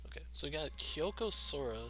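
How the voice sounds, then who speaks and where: A young man with a soft, quiet low voice, casually commentating a live game tournament from a home streaming setup, chuckling between remarks. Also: expressive, brisk, low-quality recording. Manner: laid-back, chuckles often between remarks. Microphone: desk mic turned to max. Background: game music playing.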